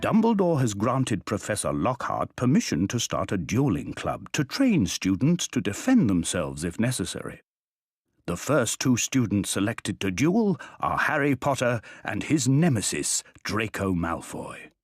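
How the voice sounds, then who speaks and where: A man's voice: lowish voice, wildly swooping pitch, measured pace, breathy edge, no room sound, exaggerated voice. A man narrating a wizard tale for a video game in a scripted storybook style. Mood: measured storytelling. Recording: light music behind the voice.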